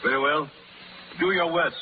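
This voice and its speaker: An actor playing an excitable, self-important English lord in emphatic 1930s radio style. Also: male voice, on the high side, moderate pace, close to an everyday voice. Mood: defiant. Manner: aristocratic, emphatic, old-fashioned. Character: excitable, haughty English lord on a secret mission. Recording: scratchy, tinny 1930s radio recording.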